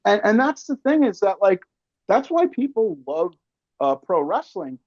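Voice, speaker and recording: A man with a fairly high voice hosts a casual podcast, close and dry on a slightly dull recording. He tells stories in loose slang, with swearing and acted-out quotes. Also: unhurried, no room sound. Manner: animated storytelling, peppered with slang, swearing and quoted asides. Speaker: podcast host.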